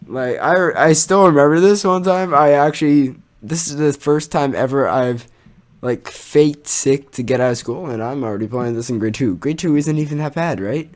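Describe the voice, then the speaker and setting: A young man telling a casual personal story as a YouTuber, in an animated, exaggerating manner with slang and swearing. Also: a little high, normal speed.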